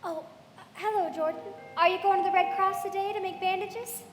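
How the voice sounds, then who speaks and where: A woman's voice: extremely high pitch, fairly even intonation, moderate pace. A woman playing a fragile young society belle in a live radio play, girlish and exclaiming, in an echoey hall with music behind. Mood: sweet, girlish. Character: popular, fragile eighteen-year-old Southern society belle.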